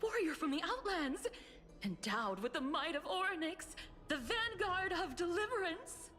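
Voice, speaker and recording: An adoring young townswoman in a fantasy game. She is bright and very high, drawing out her reverent words in a long reverb. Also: very animated, measured pace, soft background music, heavily acted. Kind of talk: video game voice acting.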